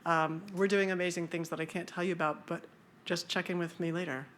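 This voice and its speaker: Casual woman presenter at a science conference, loose and joking, lower register. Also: steady tone, a little fast, a bit bright, slight background noise. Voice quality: high-pitched voice. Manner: casual, self-deprecating, many fillers. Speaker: conference speaker.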